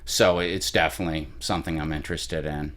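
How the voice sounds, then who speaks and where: A young man, an actor, answering interview questions in an earnest, measured way with some restarts. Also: extremely low pitch, fairly even intonation, fairly bright tone. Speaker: actor guest.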